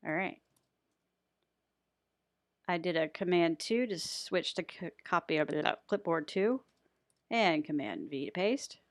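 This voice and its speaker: Chatty woman, close dry mic, low-pitched. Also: animated, measured pace, long pauses, no echo. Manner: chatty, rambling, self-deprecating humour. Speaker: voice actor giving an audio editing tutorial. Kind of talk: casual unscripted screen-recording tutorial.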